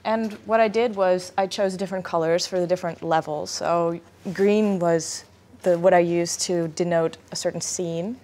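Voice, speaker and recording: A woman with a slightly low voice explains her process as an animator. It is interview talk, plain and explanatory. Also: steady tone, moderate pace, mellow.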